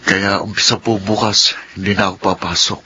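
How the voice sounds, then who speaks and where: A man's voice: low-pitched, little pitch movement, a little fast, bright, forceful tone. An actor playing a meek, honest young male subordinate, timid and deferential, in a radio drama. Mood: nervous, resolute. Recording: dull radio audio with faint noise.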